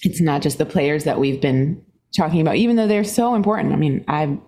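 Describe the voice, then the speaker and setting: A woman born in Singapore, a guest musician telling stories in a podcast interview, animated and laughing often, her pitch jumping up as she talks. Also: a little fast.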